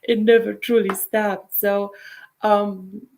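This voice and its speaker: A middle-aged woman from Poland with a Polish accent, a counselor hosting a show and leading a guided meditation before telling her own story, speaking softly and sometimes dropping to a whisper. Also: medium pitch, flat delivery. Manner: soft, unhurried, drops to a whisper at times. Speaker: intuitive counselor and show host.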